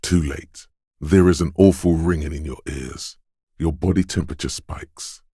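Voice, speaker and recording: An extremely deep-voiced quick-witted inner voice of a detective's instincts in a video game. He narrates in the second person, knowing and measured, with a dramatic touch. The sound is very close and dry. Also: mellow, slightly rough, no echo. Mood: tense, narrating. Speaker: voice actor.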